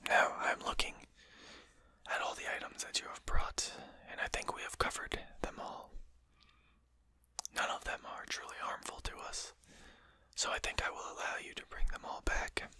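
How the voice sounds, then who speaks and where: A performer voicing a courteous but condescending vampire in a whispered ASMR roleplay, speaking formally and with measured care. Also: bright, forceful tone, close to an everyday voice. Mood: civil, magnanimous. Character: courteous, condescending vampire manor lord, amused and civil.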